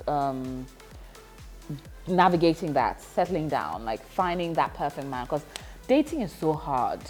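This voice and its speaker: A woman of thirty talking reflectively in a casual vlog, with frequent laughter and fillers. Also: on the low side. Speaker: vlogger.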